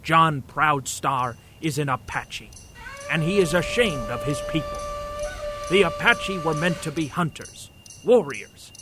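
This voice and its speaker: A man's voice: on the high side, animated, a little slow. Theatrical man as comic-book narrator over music. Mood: brooding. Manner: theatrical, emphatic. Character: dramatic comic-book narrator, grand and florid. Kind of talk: scripted dramatic narration. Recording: music bed under the voice.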